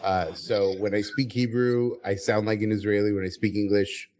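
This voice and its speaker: A man's voice: lowish voice, little pitch movement, normal speed, a little mellow. An American man speaking as an interview guest, articulate and explanatory. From United States, Southern California. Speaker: podcast guest. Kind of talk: interview conversation.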